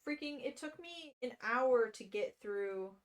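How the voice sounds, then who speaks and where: Slow-paced, higher-voiced woman livestreamer. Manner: expressive, self-deprecating, rambling. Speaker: livestreamer reading a book aloud. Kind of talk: casual livestream chat.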